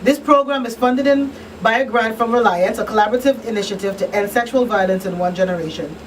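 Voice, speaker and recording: Female talk radio host, higher voice, emphatic and conversational, a little off-mic with faint noise. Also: quick pace, far from the mic, poor audio. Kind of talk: casual radio talk, partly reading announcements. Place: radio studio.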